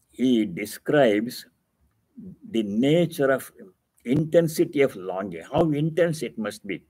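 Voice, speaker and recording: Indian accent guy, swami doing a scripture talk, medium pitch, repeats stuff for emphasis, pauses a lot, pretty dry sound. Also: darkish tone, breathy edge, no room sound. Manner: emphatic, repeats key phrases, with deliberate pauses; explains Sanskrit terms didactically. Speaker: swami giving a religious discourse. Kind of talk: scripture reading with devotional commentary.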